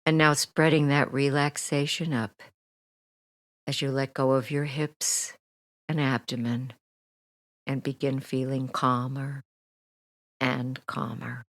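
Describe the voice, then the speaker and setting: A woman with a very deep voice guides a relaxation meditation. Her delivery is slow, gentle and soothing, with deliberate pauses and soft, near-whispered phrase endings. The sound is close and dry. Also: flat delivery, no room sound. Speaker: hypnotherapist guiding a meditation.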